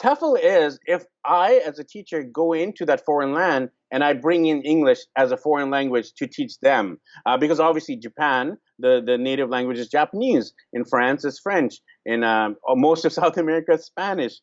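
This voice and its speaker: A man from Vancouver, explaining things as a host in a casual, halting way full of fillers. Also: on the high side, lo-fi sound. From Vancouver, Canada. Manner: many fillers, pauses to restart sentences.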